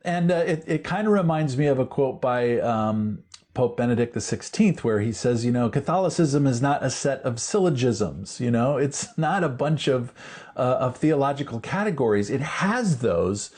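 Animated man with a mellow voice. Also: medium pitch, moderate pace. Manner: enthusiastic, animated. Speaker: podcast co-host. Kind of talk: casual discussion.

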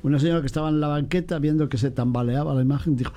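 Priest chatting, animated, does little voices, warm mellow sound. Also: male voice, fairly high pitch, very fast. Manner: animated, mimics voices in quotes. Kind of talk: casual chat on faith.

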